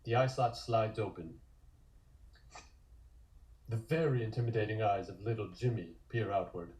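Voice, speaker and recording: A man's voice: lowish voice, moderate pace, darkish tone, rough recording. A man narrating scripted scenes in the present tense for a comic table read.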